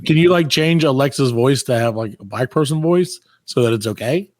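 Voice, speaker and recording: A man hosting a comedy podcast, chatting casually and ranting in mock exasperation, his voice hoarse from overuse. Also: mid-range voice, fairly quick. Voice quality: worn-out, overused voice. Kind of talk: casual comic chat.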